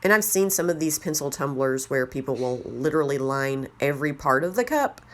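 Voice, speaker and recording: Low-voiced, slightly raspy woman crafter. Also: lively intonation, brisk. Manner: chatty, rambling, self-deprecating. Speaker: craft tutorial host. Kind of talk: casual how-to narration.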